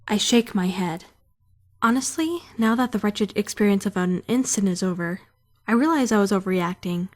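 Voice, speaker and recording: A woman's voice: medium pitch, expressive, moderate pace, soft background music, natural voice. A woman voicing a prim, socially awkward young trainee who narrates a visual novel fan dub in formal, bookish language. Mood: composed, unsure.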